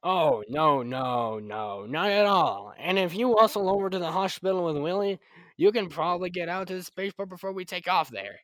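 A man acting an excitable, good-humoured scientist and doctor in an old-time radio drama. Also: high voice, normal speed, dry recording. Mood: amused, reassuring.

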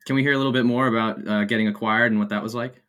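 A man's voice: fairly low pitch, steady tone, very fast, fairly bright tone. A man hosting a startup podcast, who asks his questions in a casual way. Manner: friendly, informal questions. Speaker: podcast host. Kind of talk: podcast interview.

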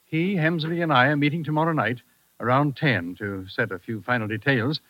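A man's voice: medium pitch, moderate pace, no echo, close to an everyday voice. Uneasy businessman, played by a man, hesitant delivery, dry and dull vintage radio sound. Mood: anxious, resigned. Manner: worried, hesitant. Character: anxious, unheroic middle-aged businessman. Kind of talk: old-time radio drama acting.

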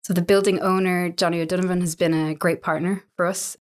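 An Irish woman in her thirties, a business owner chatting as an interview guest, relaxed and full of little fillers and restarts. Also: fairly low pitch, fairly quick. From Ireland. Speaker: guest, co-owner of a coworking space. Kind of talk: relaxed conversational storytelling.

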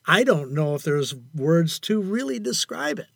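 An older man speaking as an interview guest in a reflective, conversational way, haltingly and often repeating phrases for emphasis. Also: fairly high pitch, sing-song, gravelly, no room sound, studio quality. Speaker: interview guest, amateur writer.

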